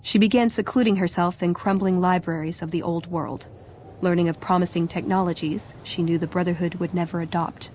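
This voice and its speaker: Composed storyteller for a game epilogue, female actor: slightly low pitch, measured delivery. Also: fairly even intonation, darkish tone, a little hiss or hum, lightly acted, low-quality recording. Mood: reflective. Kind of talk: scripted game epilogue narration.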